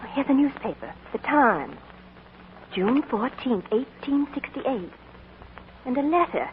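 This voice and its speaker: A woman's voice: sits high, big pitch swings, a little slow. An actress playing a spirited, curious young woman of twenty-three in a 1940s radio drama, teasing and affectionate. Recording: tinny, noisy 1940s radio broadcast.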